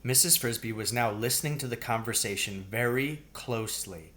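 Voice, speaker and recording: Male narrator, middle-aged, mid-pitched, reading a storybook aloud at home. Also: moderate pace, sharp tone. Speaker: reader, teacher. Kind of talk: scripted narration, reading a children's novel aloud. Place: wooden-ceilinged room at home, indoors.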